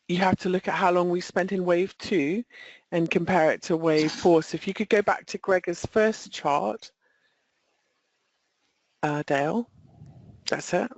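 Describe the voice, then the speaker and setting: A woman's voice: fairly low pitch, dry recording. A British-accented woman giving informal market analysis in a conversational, self-deprecating manner on a webinar. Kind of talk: informal chart commentary. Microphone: webinar call. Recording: dry, muffled webinar audio.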